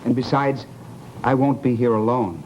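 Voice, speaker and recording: An actor playing a mild, evasive widower in an old radio drama, polite and hesitant but outwardly cheerful. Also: male voice, mid-range voice, normal speed, darkish tone, natural voice. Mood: hesitant, determined. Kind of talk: 1940s radio drama acting. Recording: dull, hissy old radio recording.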